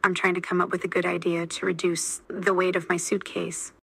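A woman's voice: fairly low pitch, level tone, a little fast, gravelly, fairly bright tone, breathy edge, dry recording. A woman's AI-generated voice repeating model sentences steadily for a language lesson. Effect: AI text-to-speech voice. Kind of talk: scripted sentence drills.

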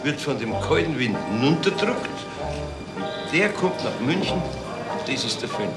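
An actor playing a polite gentleman who explains things earnestly in a radio drama. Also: medium pitch, lively intonation, a little slow, lightly acted. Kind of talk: 1970s German radio drama acting. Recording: roomy sound with loud music behind.

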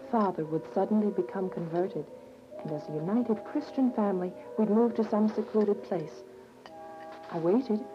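A woman's voice: mid-range voice, close to an everyday voice. A young woman playing an earnest, devout teenage daughter who narrates a radio drama. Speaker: narrator and lead character. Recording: muffled radio drama, music behind.

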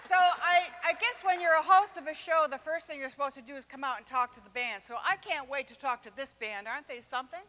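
A woman's voice: high voice, fast talker, hardly any pauses, pushed and bright, a little hiss or hum. A woman in her sixties hosting a live event on stage, upbeat and chatty, speaking into a handheld mic. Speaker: host. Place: large indoor stage. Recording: stage mic in a big hall.

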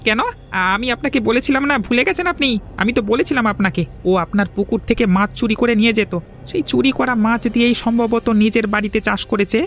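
A man voicing a scheming, flattering henchman of a village boss in a cartoon, eager and insinuating, over music. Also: very high-pitched, quick pace. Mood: accusing. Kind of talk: Bengali cartoon dubbing. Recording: music under the voice.

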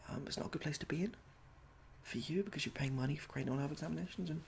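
A young man doing a soft-spoken ASMR roleplay as a medical examiner, halting and awkwardly polite, in a small room at home. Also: a little high, vocal fry, a little hiss or hum. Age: young adult. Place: small domestic room indoors.

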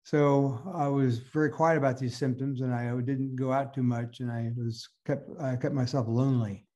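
An older man with a raspy, mellow voice talks on a video call from home. He speaks slowly and haltingly, pausing often between thoughts. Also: medium pitch, flat delivery, dull audio. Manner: slow, halting, with pauses. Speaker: patient guest.

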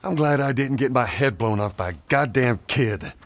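An actor playing a gruff, terse hardened survivor. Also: male voice, normal speed, no echo, natural voice. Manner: gruff, terse, low-key. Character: gruff middle-aged male survivor, hardened.

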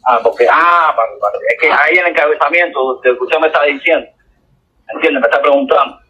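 Casting: Cuban man, tense bright voice, muddled and repetitive, heard over a muffled phone call. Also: on the high side, husky. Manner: confused, halting, repetitive. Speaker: call recipient, driving test candidate. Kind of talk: casual phone conversation. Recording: dull telephone line with faint noise.

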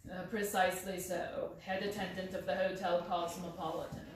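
A woman voicing a calm, clever male detective in a lower, bland and measured tone, recorded from a distance in a roomy space. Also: natural voice. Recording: roomy, distant mic, faint hiss.

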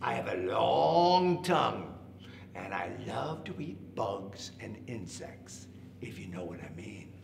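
Guy putting on a croaky frog voice, slow and sly, echoey room. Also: on the low side, big pitch swings, voice pushed down, heavily acted. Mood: sly, confident. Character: small plucky green warty frog, sly and confident. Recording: echoey room, faint background noise.

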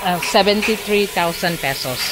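A woman with a Filipino accent giving a casual, halting walk-through narration as a vlogger, recorded on a handheld camera in an open-sided factory. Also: mid-range voice. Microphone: handheld camera microphone. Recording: noisy industrial workshop, loud ambient clatter.

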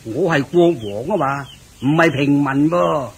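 A man's voice: fairly high pitch, moderate pace. A man with a Hong Kong Cantonese accent playing a fat, fussy king in a radio drama, sighing and grumbling as if weary of the heat. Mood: insistent. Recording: clear background noise.

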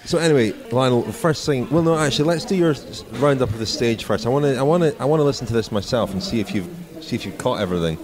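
A man's voice: medium pitch, animated, quick pace, hardly any pauses. A British man hosting a sports podcast in casual, chatty style. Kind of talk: casual chat. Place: bar of a sports complex. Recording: busy public venue, background chatter.

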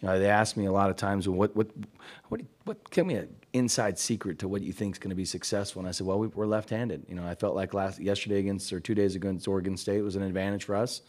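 Low-voiced fast-talking coach, halting. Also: male voice, darkish tone. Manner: halting, many restarts and fillers. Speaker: head softball coach. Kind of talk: postgame press conference answers. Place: press conference room. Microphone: table mic.

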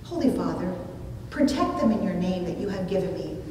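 A middle-aged woman delivering a sermon with storytelling in a measured, expressive way, with deliberate phrasing. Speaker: preacher. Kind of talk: sermon with storytelling, read from notes. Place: small indoor church room, at a pulpit. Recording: distant mic, very echoey room, rumbly noise.

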